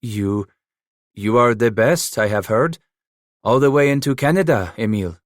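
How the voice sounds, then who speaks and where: A man's voice: mid-range voice, normal speed, no room sound, studio quality, lightly acted. A man voicing an old, wealthy Frenchman, speaking in a tired, hesitant and formal way. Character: old rich Frenchman, weary, cautious.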